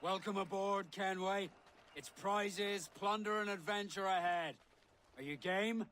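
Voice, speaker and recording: A hearty pirate calling a newcomer aboard. His voice is high and bright, drawn out slowly and called out loudly. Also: slight background noise. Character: hearty male pirate recruiter.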